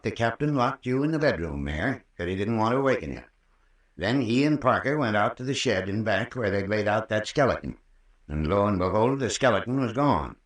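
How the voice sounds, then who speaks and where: Low-voiced folksy country doctor. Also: male voice, fairly bright tone. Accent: rural American. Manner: folksy, unhurried, patient storytelling. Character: folksy country doctor, soothing, long-winded peacemaker. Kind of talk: old-time radio drama acting. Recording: roomy, distant old radio recording.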